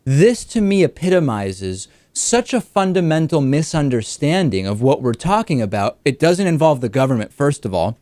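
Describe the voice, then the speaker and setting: A man's voice: a little high, big pitch swings, moderate pace, hardly any pauses, a little mellow, no room sound. Articulate male host, close dry sound. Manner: articulate, lays out examples before asking. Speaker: talk show host and interviewer. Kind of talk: measured political interview talk.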